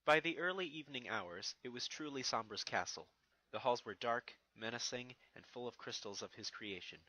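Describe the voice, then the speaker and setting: A man's voice: medium pitch, lively intonation, moderate pace, sharp tone, poor audio. A man giving a dramatic scripted narration of a fantasy fan fiction story.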